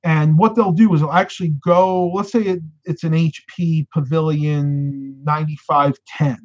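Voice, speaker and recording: A man in his thirties, hosting a casual live stream from a room at home, talking animatedly and getting a bit hoarse. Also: fairly high pitch, normal speed. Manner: animated, gestures with hands while talking. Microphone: webcam at desk. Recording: slightly dull lo-fi webcam sound.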